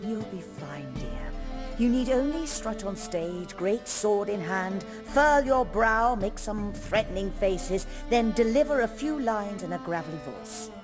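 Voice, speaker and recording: An actress playing a poised, refined stage performer in a video game, articulate and gently persuasive. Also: female voice, mid-range voice, animated, a little slow, natural voice. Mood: encouraging. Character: poised, refined theatre troupe woman, experienced stage performer. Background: music.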